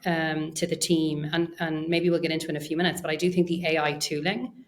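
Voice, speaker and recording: A woman's voice: low-pitched, level tone, fairly quick. A woman speaking as an executive guest in an interview, explaining conversationally and thinking aloud with hedged phrasing. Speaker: interview guest, research and data science executive.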